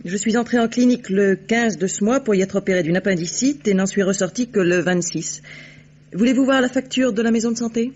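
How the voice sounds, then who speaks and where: An actress playing a haughty, shrewd, mercenary society woman in a vintage French radio drama, with poised, disdainful, deliberate delivery. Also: medium pitch, darkish tone, natural voice. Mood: composed, evasive. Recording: dull, noisy old radio recording.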